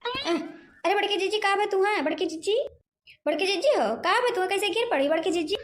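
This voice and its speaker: A woman voicing an anxious, excitable younger village sister in a cartoon comedy, with an Awadhi accent. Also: extremely high pitch, a little fast. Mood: alarmed. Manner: excitable, fretful.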